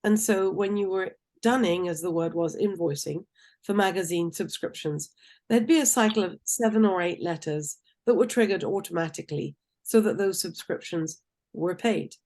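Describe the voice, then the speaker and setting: A woman, answering interview questions in an articulate, measured way, with the occasional um. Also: lowish voice, darkish tone. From Tunisia. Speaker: guest.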